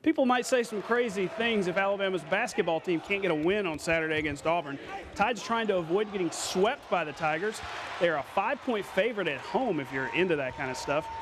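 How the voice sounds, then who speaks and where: A man's voice: sits high, lively intonation, brisk, background noise. An American man anchoring a local TV sportscast, reading scripted news with playful, conversational asides.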